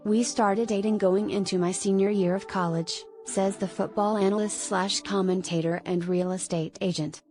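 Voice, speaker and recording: A woman narrates a scripted celebrity news piece at a middling pitch. She reads it aloud in a measured way, with music playing behind her voice. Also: steady tone, darkish tone.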